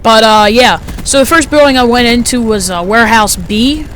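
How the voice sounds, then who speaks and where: A teenage boy with a light, high-sounding voice gives relaxed, rambling commentary over a match replay. He wanders between points and leans on fillers. Faint noise sits under the recording. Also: moderate pace, rough recording. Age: teens. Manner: chatty, rambling, frequent fillers. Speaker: gameplay commentator. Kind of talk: casual gameplay commentary.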